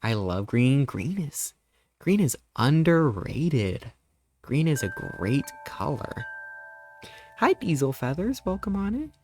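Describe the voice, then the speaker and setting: A man in his thirties, a live streamer chatting casually and greeting viewers, rambling playfully with sudden squeaky jumps in pitch. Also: sing-song, takes it slowly, darkish tone, soft background music. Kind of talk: casual live-stream chat reading chat messages.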